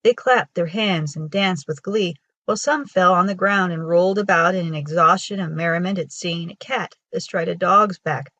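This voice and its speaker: A woman with a husky voice reading a children's folktale aloud as a storyteller. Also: lowish voice, moderate pace, hardly any pauses, fairly bright tone, dry recording, dull audio. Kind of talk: scripted storybook narration for children.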